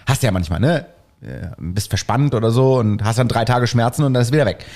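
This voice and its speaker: German-speaking man telling a lively story. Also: medium pitch, fast. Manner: animated anecdote-telling with acted-out quotes. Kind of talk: casual storytelling chat.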